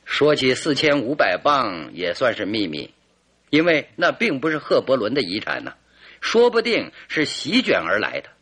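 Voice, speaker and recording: Theatrical, animated detective. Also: male voice, mid-range voice, moderate pace, slightly rough, exaggerated voice. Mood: explaining, probing. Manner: theatrical, leisurely deductive storytelling with rhetorical questions. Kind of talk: old-fashioned Mandarin radio drama acting.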